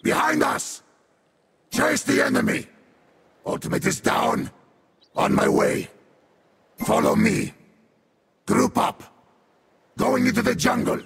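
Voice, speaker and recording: A man playing a sadistic, vengeful infernal beast warrior in a video game, with a harsh demonic growl and theatrical, deliberate delivery. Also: extremely high pitch, creaky, a bit bright, husky, exaggerated voice. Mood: commanding, urgent. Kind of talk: video game character voice lines.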